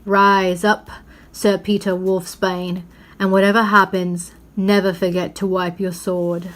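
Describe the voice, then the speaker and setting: A woman's voice: mid-range voice, flat delivery, unhurried, natural voice. A woman reading the part of a great male lion king in a storybook, giving solemn, imperious commands.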